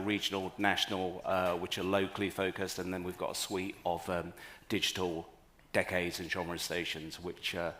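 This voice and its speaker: A deep-voiced man speaking on a radio industry panel about the company he founded. His delivery is wry, with frequent hesitant restarts. He sounds like a seasoned broadcaster chatting at ease. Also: a bit bright. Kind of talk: conference panel talk.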